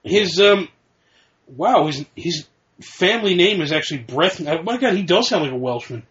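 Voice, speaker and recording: A man with a slightly high voice hosts a podcast in a loose, casual chat, reading out lists from his notes and correcting his own slips as he goes. He rambles a bit and laughs easily at himself. The recording is muffled and lo-fi. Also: animated, moderate pace, fairly bright tone. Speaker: podcast host.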